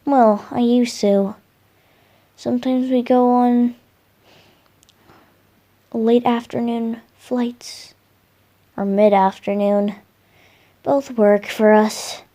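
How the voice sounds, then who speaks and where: Slow, soft boyish wind god voiced by a woman. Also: fairly high pitch, steady tone. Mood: wistful, chatty. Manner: soft, musing, hesitant, affectionate. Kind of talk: roleplay ASMR, intimate one-sided dialogue to a listener.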